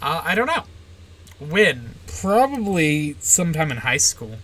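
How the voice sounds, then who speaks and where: A young woman with a general American accent, streaming live and reading viewer questions aloud. She gives short, deadpan answers with plenty of "uh" and "like". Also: low voice, unhurried, slightly rough, rough recording. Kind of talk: casual livestream Q&A, answering chat.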